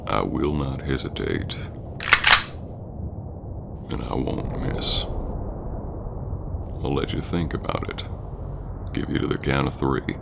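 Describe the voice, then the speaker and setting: Extremely low and heavy with gravel, this is a gruff Old West bounty hunter acted by a man in an audio roleplay. He speaks with stern, gentlemanly menace and folksy grammar. Clear background noise and ambience sit behind the voice. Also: exaggerated voice. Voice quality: very deep, gravelly. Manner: slow-burning, stern gentlemanly drawling talk with folksy grammar. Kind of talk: ASMR roleplay acting addressed to the listener.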